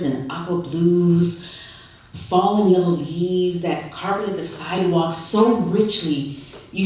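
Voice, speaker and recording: A woman's voice: fairly low pitch, darkish tone. Woman reading a story, very echoey room. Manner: unhurried, dramatic storytelling with pauses. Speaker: story reader. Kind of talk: expressive literary reading to an audience. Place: live reading event, indoors. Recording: echoey room, distant microphone.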